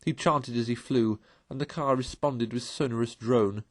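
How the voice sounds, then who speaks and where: A man's voice: mid-range voice, fairly even intonation, normal speed, no room sound. Man narrating a storybook, dry recording. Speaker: storyteller reading a novel aloud. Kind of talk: expressive scripted narration.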